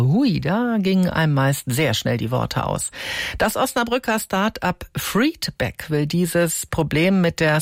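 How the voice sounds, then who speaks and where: A woman's voice: low-pitched, dry recording, studio quality. A woman news anchor opening a segment in a conversational, personal way. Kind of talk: studio news presentation.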